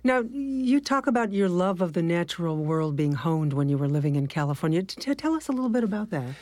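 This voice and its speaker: A woman's voice: fairly low pitch, very animated, quick pace, darkish tone, dry recording. A woman hosting an interview show, introducing her guest and asking questions, often answering with short encouraging interjections. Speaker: host.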